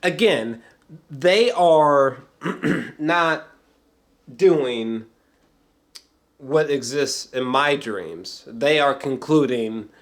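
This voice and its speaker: A man giving casual, unscripted film commentary as a reviewer, rambling with repeated words and throat clearing, in a slangy, profane and emphatic way. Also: medium pitch, lively intonation, extremely slow, long pauses.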